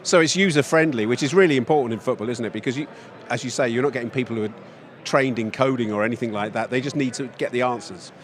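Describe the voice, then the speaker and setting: A man asks questions at an easy, even pitch. He is friendly and conversational and likes to steer toward agreement, and the setting is outdoors with urban sounds around him. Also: fast. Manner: friendly, asks leading questions ending "isn't it?". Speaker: interviewer. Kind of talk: casual interview questions. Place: outdoors at a trade event.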